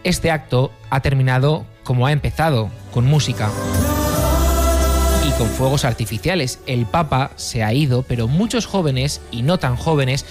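A male field reporter narrating a news report in a steady, measured delivery. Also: mid-range voice, music in the background.

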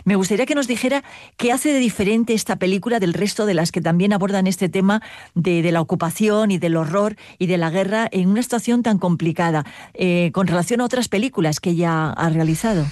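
A woman's voice: medium pitch, a little fast, a little mellow, no echo. A woman conducts an interview in a mid-range voice. She puts her questions politely and conversationally, and the sound around her is dry, with very little room in it.

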